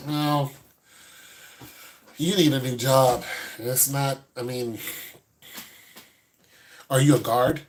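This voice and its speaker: A man's voice: mid-range voice, fairly even intonation, slow, long pauses, slight background noise. A man in his fifties, a host giving blunt, no-nonsense advice to a caller in casual chat. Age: 50s.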